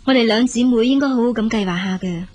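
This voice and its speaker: A woman's voice: fairly high pitch, fast talker, a little mellow, close to an everyday voice. A woman playing a capable, caring elder sister in a radio drama, speaking Hong Kong Cantonese in a firm, reassuring way.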